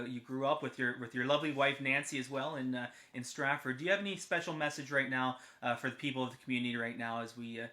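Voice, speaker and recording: A man's voice: mid-range voice, pushed and bright. A Canadian man hosting a conversational interview, repeating words as he goes. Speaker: host.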